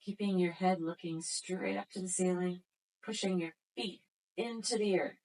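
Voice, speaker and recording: A woman instructor talks a class through floor stretches and breathing. Her voice sits a little low, and she gives each cue slowly and gently in a soothing way. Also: fairly even intonation, rough recording. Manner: slow, gentle, soothing cues. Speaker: yoga instructor. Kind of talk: guided exercise instruction.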